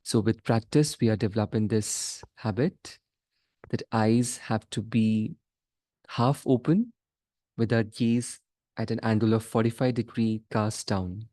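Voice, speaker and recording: Guy teaching guided meditation, really unhurried, lots of pauses, mid pitch voice, no room echo. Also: fairly even intonation, dark tone. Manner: measured, pausing between short phrases.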